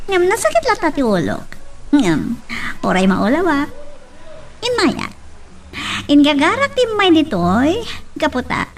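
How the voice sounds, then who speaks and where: A woman's voice: high voice, wildly swooping pitch, a little slow, pauses often, full character voice. A woman playing an irritable, jealous, spoiled younger woman in a radio-style drama, with an Ilocano accent and a whiny, complaining manner. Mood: irritated, whining.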